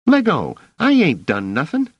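Street urchin boy, played by a male narrator: high squeaky voice, scrappy, defiant, slangy dropped endings, storybook drama. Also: sing-song, measured pace, no room sound, highs cut off. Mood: indignant, alarmed.

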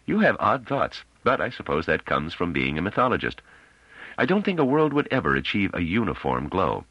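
A man's voice: low-pitched, huge pitch swings, a little fast, husky, dry recording, pitched above the performer's own voice, heavily acted. A man voicing an assured male space pilot who explains things, in an audiobook on a dull recording. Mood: amused, explaining.

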